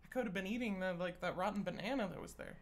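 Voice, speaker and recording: A man's voice: high voice, fast talker. A man in his twenties chatting playfully and with mock drama as a guest on a gameplay stream. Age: 20s. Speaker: guest co-op player. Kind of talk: casual gameplay chat. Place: indoor streaming setup, seated. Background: game music.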